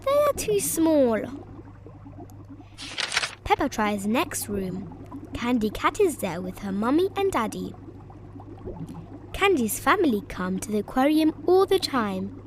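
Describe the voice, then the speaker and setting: High, animated woman reading a storybook. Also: measured pace, background noise. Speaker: storybook narrator. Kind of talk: children's read-aloud narration. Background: music behind the voice.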